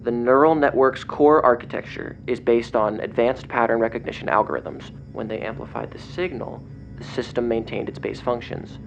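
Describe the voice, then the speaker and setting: A man playing an agitated investigator in an audio drama, speaking in a grave, halting, intense way over a phone. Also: medium pitch, close to an everyday voice. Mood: grave reading. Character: agitated male investigator relaying grim secret documents by phone. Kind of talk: audio drama acting, partly reading documents aloud. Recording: dull lo-fi phone call, clear noise.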